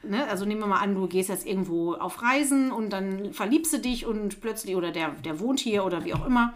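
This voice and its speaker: Eine Frau, die als Co-Host verspielt und neckend plaudert und gern kichert. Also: female voice, medium pitch, lively intonation, fairly quick. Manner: playful, teasing, giggly. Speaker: podcast co-host. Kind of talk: casual chat.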